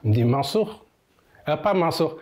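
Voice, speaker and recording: A man's voice: on the high side, moderate pace. A man telling anecdotes in an interview, acting out the quoted lines of others. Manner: lively storyteller who acts out quoted lines. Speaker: immigrant interviewee.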